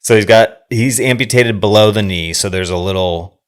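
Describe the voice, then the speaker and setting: Male podcast co-host, low-pitched, conversational, retelling scenes loosely and pausing to check his word choices. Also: clear, resonant tone. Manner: searches for words, checks terms aloud. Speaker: podcast co-host discussing films. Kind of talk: casual conversational film recap.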